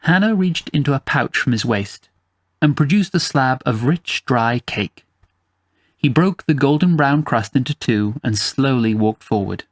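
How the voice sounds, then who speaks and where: A male storyteller reads a scripted fantasy tale in the first person. His pitch sits in the middle range for a man. The recording is dry and free of room echo. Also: expressive, unhurried. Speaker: storyteller, narrator.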